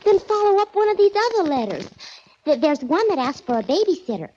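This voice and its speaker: A woman's voice: extremely high pitch, big pitch swings, brisk, dark tone, a little hiss or hum. A woman acting a naive, eager young secretary in a 1940s radio comedy-mystery, earnest in her delivery. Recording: muffled 1940s radio recording.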